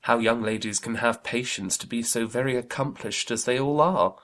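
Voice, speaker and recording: A man playing an amiable, easygoing young gentleman in a dramatized audiobook, good-humoured and earnest. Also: medium pitch, moderate pace, lightly acted. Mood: admiring.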